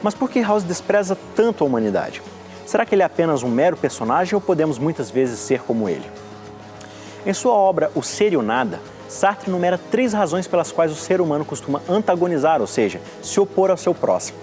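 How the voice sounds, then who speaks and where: A man's voice: a little high, sing-song, brisk, a little mellow, faint music behind. Man narrating a series review. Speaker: TV series commentator.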